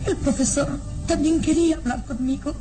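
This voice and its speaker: Fragile young heroine, played by a woman: high voice, hesitant, melodramatic radio delivery over loud music. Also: moderate pace, close to an everyday voice. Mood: anxious, sorrowful. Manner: hesitant, breaking up phrases. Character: anxious, fragile young woman, grieving daughter. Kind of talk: melodramatic radio serial acting.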